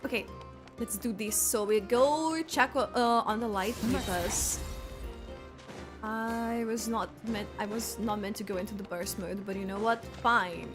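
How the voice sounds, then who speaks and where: A woman's voice: mid-range voice, unhurried, music in the background. A woman streaming a game with casual, rambling commentary, thinking aloud and correcting herself as she goes. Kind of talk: casual live gameplay commentary.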